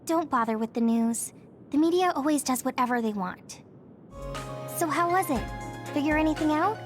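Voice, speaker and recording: A woman's voice: sits high, lively intonation, moderate pace, music in the background. A woman playing a caring, upbeat young female squad mate in a video game, friendly and direct. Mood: helpful. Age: young adult. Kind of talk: video game cutscene voice acting.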